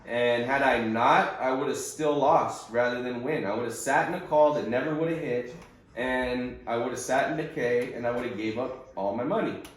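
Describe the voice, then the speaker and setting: A man's voice: mid-range voice, normal speed. A man coaching day traders in an informal monologue, blunt and insistent, repeating words for emphasis. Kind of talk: informal instructional monologue on a group call. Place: conference call recording in echoey room. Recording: very echoey, reverberant room.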